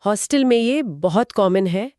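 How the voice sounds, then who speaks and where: A woman co-hosting a horror story show, giving conversational commentary with expressive questions and reflective remarks. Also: mid-range voice, unhurried, dry recording, studio quality. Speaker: co-host reacting to stories.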